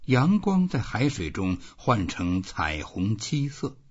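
A man reading a novel aloud as scripted literary narration, in an expressive storytelling manner. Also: on the low side, slightly rough, muffled recording. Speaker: audiobook narrator.

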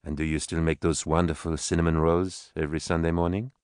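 Need a man doing a proud jailed mom, formal and measured, kinda low voice. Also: level tone, a little mellow, clear, resonant tone, dry recording, studio quality. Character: proud imprisoned mother on trial, older woman, righteous and unbending.

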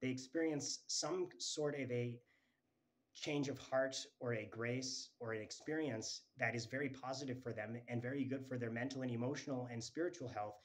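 A man in his thirties speaks straight into a phone held close to his face in a small room at home. His delivery is fervent and rambling, stringing claims together with restarts and "and"s, at an ordinary middle pitch. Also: normal speed. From Twin Cities, Minnesota. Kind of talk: earnest religious monologue to camera. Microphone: phone held close, selfie framing.

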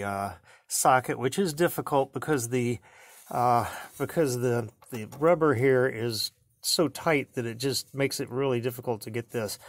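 A man narrating his own car repair in a casual how-to style, plain-spoken and wry, with many fillers and restarts. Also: medium pitch. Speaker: home mechanic showing a car repair.

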